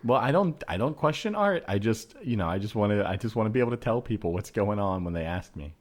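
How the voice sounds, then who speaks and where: A man's voice: lowish voice, lively intonation, fast talker. A man performing improvised comedy scenes as part of a show. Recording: background noise and added ambience. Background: added ambient soundscape.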